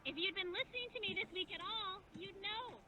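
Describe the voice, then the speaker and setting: A woman playing a high, sulky pregnant wife. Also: a little fast, noisy background. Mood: annoyed. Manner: reproachful, sulky. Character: irritated pregnant young wife on a road trip. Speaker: frustrated wife. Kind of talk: comedic sketch acting.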